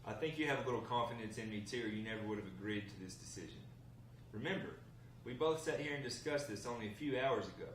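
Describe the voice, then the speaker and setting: A man's voice: mid-range voice, fast talker, fairly bright tone, natural voice. A man reading the part of a confident, defensive husband who is joining a gang, in a drama script table read. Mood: defensive, insistent. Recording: echoey room, distant mic, faint noise.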